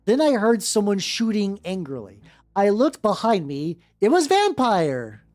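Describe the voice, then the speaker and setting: A woman's voice: mid-range voice, big pitch swings, unhurried, darkish tone. A woman hosting a livestream, chatting casually and reading aloud, often stammering, repeating words and breaking off to comment. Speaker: vtuber streamer host. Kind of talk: casual livestream chat mixed with reading fan fiction aloud. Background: background music.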